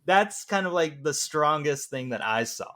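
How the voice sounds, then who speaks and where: A man's voice: on the high side, expressive, moderate pace, a bit bright. A man hosting a podcast, talking casually about films. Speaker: podcast host. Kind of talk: casual film discussion.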